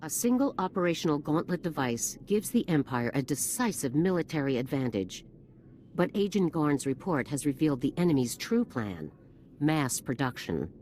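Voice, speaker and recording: A stern female general in a video game, with a very low voice for a woman. She is formal and commanding, with faint ambient noise behind her. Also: very animated, normal speed, warm tone. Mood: authoritative. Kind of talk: video game dialogue acting.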